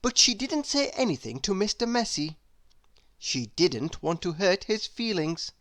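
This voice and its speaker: A woman narrating a children's story in a measured, expressive read-aloud style. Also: medium pitch. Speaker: storybook reader.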